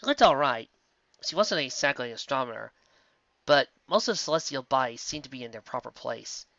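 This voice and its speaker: A man reading a story aloud with expressive storytelling. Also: mid-range voice, fairly quick, gravelly, dry recording, dull audio. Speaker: reader. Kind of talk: audiobook narration of fan fiction.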